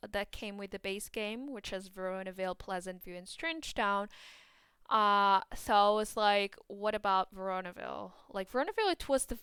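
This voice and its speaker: A woman with a Portuguese accent, chatting excitedly about a video game as a gaming YouTuber, with many fillers and restarts. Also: medium pitch, smooth, no room sound.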